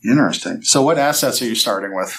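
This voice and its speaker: A man's voice: medium pitch, lively intonation, quick pace, fairly bright tone. A man acting as game master, explaining tabletop game rules to a group around a table. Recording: roomy echo.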